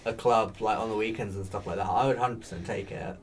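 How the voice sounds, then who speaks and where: A man hosting a casual podcast chat, rambling with plenty of fillers in a soft, soothing voice. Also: lowish voice, steady tone, fast talker. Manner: rambling, chatty, with many fillers. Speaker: podcast host. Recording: faint background noise.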